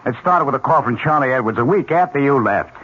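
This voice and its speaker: A man's voice: medium pitch, animated, quick pace, lightly acted. A man playing an anxious, defensive American junior partner at an advertising agency in a radio drama. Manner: defensive, pleading. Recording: muffled old radio, faint noise.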